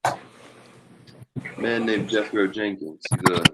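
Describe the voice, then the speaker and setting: A man's voice: fairly low pitch, takes it slowly, heavy background noise. A man playing a haunted war-veteran leader of an outlaw gang in an amateur western table read. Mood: matter-of-fact.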